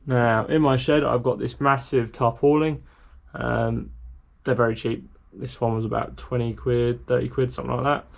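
Young bloke, bit of fry in his voice, rambly diy vlog with lots of ums, talking to a camera held out at arm's length. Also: medium pitch, moderate pace. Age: young adult. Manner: relaxed, rambling explanation with many ums. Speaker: sailing vlogger. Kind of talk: casual how-to vlog. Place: outdoors in a garden, later in a shed. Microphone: handheld camera at arm's length.

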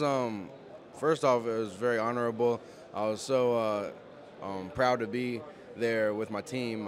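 A teenage Southern football player answering politely with "yes, sir" and some ums, into a handheld mic. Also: male voice, on the low side. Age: teens. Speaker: high school football player. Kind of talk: casual interview answers. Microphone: handheld mic held by interviewer.

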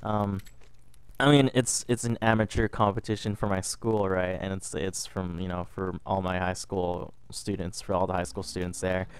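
A man's voice: low voice, level tone, moderate pace, clean tone, no room sound. A young man from Canada, a little sick, telling a story casually over gameplay, rambling and restarting often. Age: young adult. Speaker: gaming vlogger.

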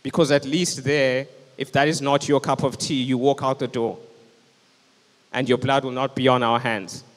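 A young man delivers an earnest, urgent sermon into a handheld microphone. His mid-pitched voice rings out with a long hall reverb on the church stage. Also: fairly even intonation, moderate pace. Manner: earnest, urgent preaching, standing with a handheld mic. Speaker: preacher. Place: church stage with band instruments, indoors.

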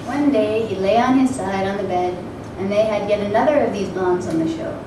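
A woman's voice: medium pitch, a little fast. A woman in her thirties reading comic fiction aloud in a staged dramatic reading. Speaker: reader at a literary event. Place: stage with curtains before an audience. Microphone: microphone on a table stand. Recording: very echoey hall, distant, clearly noisy.

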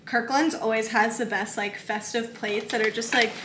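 A woman's voice: a little high, steady tone, moderate pace, background noise. A woman in her twenties chatting casually through a shopping haul in her home kitchen, bubbly and full of "like" fillers. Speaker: lifestyle vlogger. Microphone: camera in front, medium close framing. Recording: echoey kitchen.

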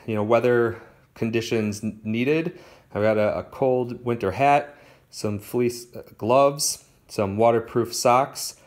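A young man casually talking through his hiking gear, listing items with lots of ums and restarts. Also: on the low side. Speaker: hiker showing his gear. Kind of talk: casual gear walkthrough voice-over. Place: indoors at home, filming gear on a floor.

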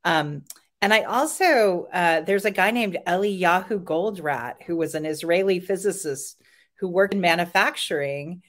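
A woman with a lowish voice hosting a podcast interview. She asks curious, thoughtful questions in a relaxed way, often stopping on "um" as she works out her next thought. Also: unhurried. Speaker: podcast host.

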